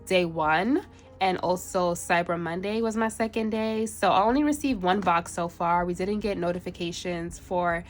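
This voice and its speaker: A woman's voice: lowish voice, normal speed, faint music behind. A woman with a congested, stuffy voice chatting through an unboxing on her planner vlog, laughing often between phrases. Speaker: planner vlogger.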